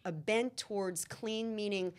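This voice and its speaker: A woman's voice: medium pitch, unhurried. A middle-aged woman from Egypt, talking casually as a guest chef, chatty with frequent ums and laughs. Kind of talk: casual interview chat.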